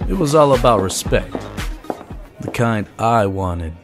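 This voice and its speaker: A man's voice: on the low side, animated, unhurried, music in the background. A man voicing an ambitious, cocky henchman who narrates a motion comic in hardboiled first person.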